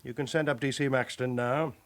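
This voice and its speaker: A man acting a stern, brisk chief inspector in a British radio drama, authoritative and clipped in his delivery. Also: medium pitch, steady tone, fairly bright tone, a little hiss or hum, close to an everyday voice. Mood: brisk, commanding. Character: stern, brisk male police chief inspector, dryly commanding.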